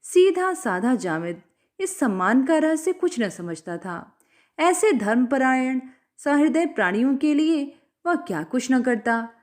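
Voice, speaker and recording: A woman reading a story aloud as a scripted, expressive narration. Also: high-pitched, measured pace. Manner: expressive, acts out dialogue.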